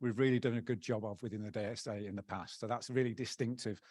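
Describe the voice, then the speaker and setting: A man, the outgoing president of an association, giving upbeat, joking closing thanks to a conference, halting often to find his words. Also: on the low side, steady tone, a little mellow, no room sound, clean studio sound. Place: conference hall plenary.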